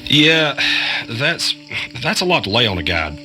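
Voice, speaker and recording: A man's voice: medium pitch, very animated, unhurried, bright, forceful tone, soft background music, exaggerated voice, poor audio. A man playing a wary, sarcastic ordinary guy in an audio drama, speaking in a sullen, hesitant way. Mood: shaken, questioning.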